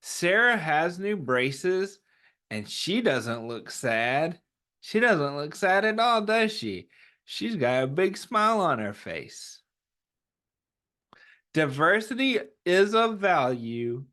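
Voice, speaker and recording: A man's voice: a little high, very animated, slow, bright, forceful tone. A man hosting a children's story time, reading aloud and chatting to young listeners in an encouraging way, often asking questions and answering them himself.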